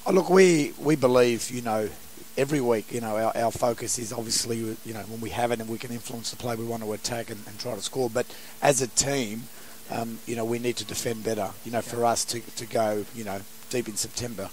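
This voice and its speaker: Aussie footy coach, lost his voice, hoarse, keeps restarting sentences. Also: mid-range voice, steady tone, a little mellow, a little hiss or hum, low-quality recording. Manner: halting, many restarts and fillers. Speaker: football coach. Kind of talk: post-match interview.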